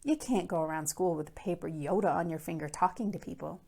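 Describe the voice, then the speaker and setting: A woman's voice: fairly low pitch, quick pace, creaky, darkish tone. A woman reading a children's storybook in the first person as an earnest, fretful middle-school boy, with emphatic, dramatic phrasing. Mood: exasperated. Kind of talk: first-person children's book read aloud.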